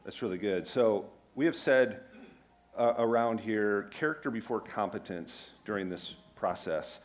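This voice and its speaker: A man's voice: fairly low pitch, measured pace. A man, a pastor, giving church announcements and interviewing a congregant in a casual, self-deprecating way.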